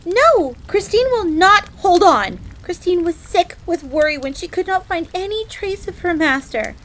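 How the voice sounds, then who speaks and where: A high-voiced fox maid in an audio roleplay. She is a proud, jealous tsundere servant, quick to get indignant and flustered, yet lowly in her own eyes. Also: lively intonation, a bit bright, full character voice, low-quality recording. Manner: indignant, stammering when flustered, refers to herself in third person.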